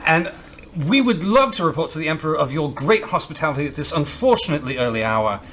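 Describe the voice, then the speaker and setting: Man, wry, lively intonation, slightly high voice, playful role-play, faint room noise. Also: fast talker. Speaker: tabletop game player. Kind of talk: playful improvised role-play and table banter. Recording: faint background noise and ambience.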